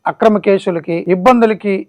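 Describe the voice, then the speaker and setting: Male presenter, political explainer, high pitch, brisk pace, music bed. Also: no echo.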